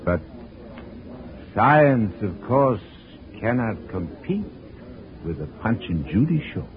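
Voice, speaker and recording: A deep, slow-speaking man as a proud, weary scientist in a radio drama. He orates earnestly and theatrically. The old broadcast is thin and tinny, with a crowd behind him. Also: very animated, raised voice. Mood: bitter. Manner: deliberate, theatrical oratory.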